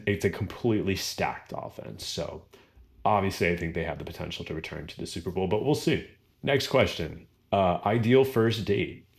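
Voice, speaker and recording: Deep-voiced young man chatting casually. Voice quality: baritone voice. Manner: relaxed, rambling, lots of fillers and small laughs. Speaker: vlogger answering viewer questions. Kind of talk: casual Q&A chat.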